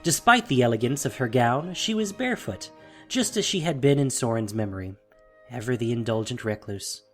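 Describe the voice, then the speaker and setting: Expressive narrator with a lively, swooping delivery. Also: medium pitch, normal speed. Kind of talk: dramatic scripted fantasy storytelling. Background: soft music.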